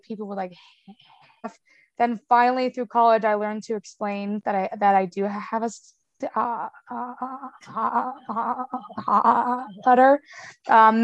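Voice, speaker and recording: A young woman speaks casually as a podcast guest, with a clear stutter that repeats sounds and blocks on words. She talks frankly and chattily, full of fillers, in a fairly high voice. The recording is dry and close. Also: dry recording, muffled recording. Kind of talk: casual conversational interview.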